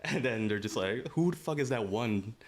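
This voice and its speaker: Casual, bantering man with a medium pitch. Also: expressive, normal speed, crowd noise. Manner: informal, bantering, slangy. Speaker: podcast co-host. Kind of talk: casual podcast chat.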